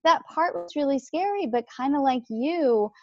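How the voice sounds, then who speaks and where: A woman as a podcast guest, talking about her faith in a lively way and jumping up in pitch when she quotes others. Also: moderate pace, dry recording, highs cut off. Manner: animated, jumps pitch when quoting others. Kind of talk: faith-based podcast conversation.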